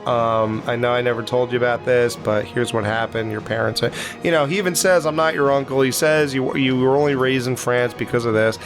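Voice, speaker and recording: An adult man swaps jokes in a casual film review podcast, crude and playful as he retells scenes. His voice sits at a mid pitch. Music plays clearly behind him. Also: fairly even intonation, brisk, few pauses. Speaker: podcast co-host. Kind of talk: casual movie review chat.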